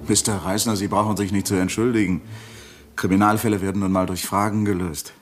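An actor playing a defensive husband under police questioning in a radio crime drama, halting and evasive. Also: male voice, on the low side, little pitch movement, natural voice.

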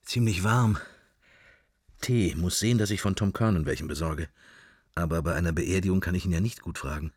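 A daydreaming man lost in interior monologue in a radio play. The voice is deep and husky, recorded close and dry, drifting from one fragment of thought to the next. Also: brisk, darkish tone, no echo. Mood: wry, musing. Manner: fragmentary, drifting, thinking to himself. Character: musing man in Dublin, idly drifting daydreamer.

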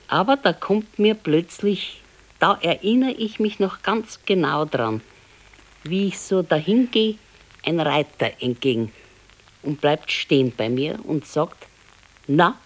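A plain-spoken old Bavarian wife in a radio drama. Her voice sits a little low for a woman, and she talks in a homely, matter-of-fact way with a readiness to nag. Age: older. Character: plain-spoken ageing wife, former servant girl, nagging. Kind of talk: naturalistic radio drama acting.

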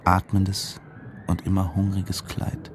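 An actor playing a controlled, brooding diving instructor who narrates a radio drama in measured, deliberate phrases. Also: male voice, low voice, monotone, noisy background. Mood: measured, absorbed. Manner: measured, deliberate, literary phrasing in short units. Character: male diving instructor narrating his own perilous dive, controlled and brooding. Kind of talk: scripted first-person radio drama narration.

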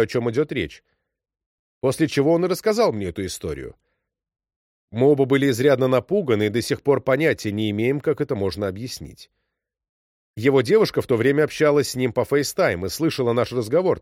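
A man narrates a string of short first-person stories in a calm, even read. His voice sits at an ordinary middle pitch. The sound is dry and close, with no room around it. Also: a little fast, no room sound. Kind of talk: scripted narration.

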